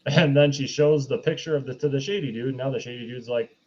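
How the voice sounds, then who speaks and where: A man's voice: mid-range voice, quick pace, darkish tone, slight background noise. A man with a mid-range voice, slightly dull and lo-fi on the microphone. He chats as a podcast co-host, retelling things in a wry, informal way. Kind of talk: casual movie review chat.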